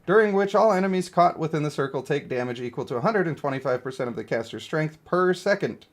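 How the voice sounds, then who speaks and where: Casual, slightly high-voiced male game streamer. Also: expressive, fast talker. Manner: informal, slangy, profane, thinking aloud. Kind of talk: casual live-stream commentary, reading skill text aloud and reacting.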